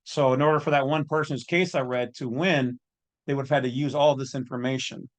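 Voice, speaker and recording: Male online commentator, mid-pitched, folksy and opinionated, speaking off the cuff. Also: steady tone, brisk. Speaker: host of an online legal commentary channel.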